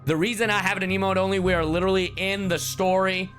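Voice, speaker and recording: A man's voice: sits high, fairly even intonation, fast talker, fairly bright tone. Casual male game streamer over faint music. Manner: casual, addresses audience with slang and swearing. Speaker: streamer and voice actor. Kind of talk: live game reaction, casual chat with viewers.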